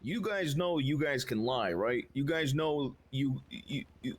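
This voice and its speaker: A man's voice: medium pitch, expressive, a little slow, slightly rough. A man in a roleplay who talks bluntly, swears casually and keeps restarting his sentences.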